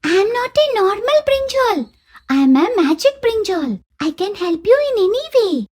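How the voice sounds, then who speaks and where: A tiny talking eggplant from an animated fable. The voice is extremely high, squeaky and childlike, with lively swings as it pleads and offers help. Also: female voice, a little slow, full character voice. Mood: helpful, matter-of-fact. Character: small talking magic eggplant, pleading and helpful. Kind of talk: animated moral story dialogue.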